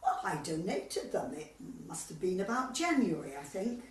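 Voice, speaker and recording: A fussy, old-fashioned older female club member , played by a woman, with a medium pitch that swoops about in brisk radio comedy style. She sounds echoey and away from the mic. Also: sing-song, lightly acted. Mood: dismissive. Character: fussy, thrifty older widow on club committee. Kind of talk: amateur radio play comedy acting. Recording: echoey, distant home recording.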